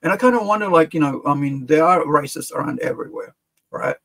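A middle-aged man from Fiji whose accent sounds American, talking casually and animatedly as a streamer reacting to a clip. His voice is gravelly and husky, and he rambles through restarts as he makes his point from a desk in a small room. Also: on the high side, animated, fast talker, fairly bright tone. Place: small room with collectible shelves, gaming chair.

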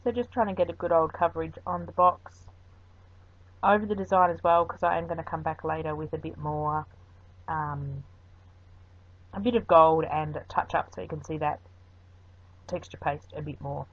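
This woman sits a touch low in pitch and talks in a loose, rambling way, dropping ums as she explains each craft step. Her voice-over comes through a dull, lo-fi recording. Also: fairly even intonation, normal speed, pauses often, no room sound. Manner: chatty and rambling, with frequent ums. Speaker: craft video host. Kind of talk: voice-over craft tutorial narration.

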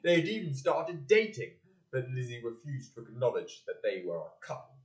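A young man acts as the male storyteller of a community radio play. His mid-pitched voice moves around a lot as he reads scripted narration. The room rings around him. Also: very animated, normal speed, natural voice. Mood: measured, wry. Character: storyteller narrator of a British period drama. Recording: echoey hall.

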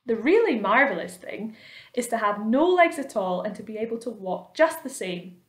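A woman's voice: big pitch swings, moderate pace. A woman voicing a prim, touchy, self-important male earthworm in a children's story. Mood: wistful. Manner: prim, indignant, higher than narration. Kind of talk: children's storybook read aloud. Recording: roomy, echoing indoor sound.

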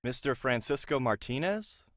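A man playing a cautious, stern school counselor in an audio drama, measured and skeptical. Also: medium pitch, lively intonation, a bit bright, dry recording, clean studio sound, lightly acted.

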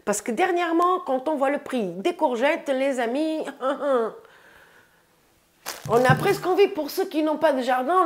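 Chatty woman with a stuffy cold. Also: high voice. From France. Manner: chatty, says "les amis" often, upbeat. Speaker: vlogger. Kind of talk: casual grocery haul vlog. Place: bright room indoors by a window. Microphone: lapel mic.

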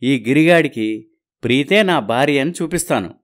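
An actor playing a sly, boastful married man in a cartoon story, smooth-talking and evasive. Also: medium pitch, animated, moderate pace, natural voice. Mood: smug.

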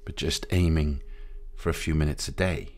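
A man guiding a meditation in a soothing, unhurried way, pausing between short phrases. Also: very deep voice, fairly even intonation, darkish tone. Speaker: meditation guide. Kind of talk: guided meditation. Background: music.

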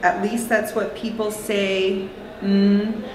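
A woman's voice: medium pitch, flat delivery, slow pace. A woman giving a dramatic reading of lyrics indoors at a school. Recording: echoey room, background noise.

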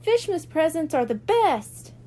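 Woman voicing an excitable high-pitched little merkitten boy. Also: a little slow, heavily acted. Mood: excited. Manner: whiny, pleading. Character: eager, excitable little boy cat-mermaid.

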